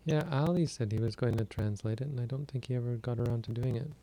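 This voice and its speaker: A man giving a relaxed, plainspoken meditation talk and answering questions on a livestream. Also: medium pitch, a little hiss or hum. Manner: plainspoken, unhurried, loosely conversational. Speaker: meditation teacher.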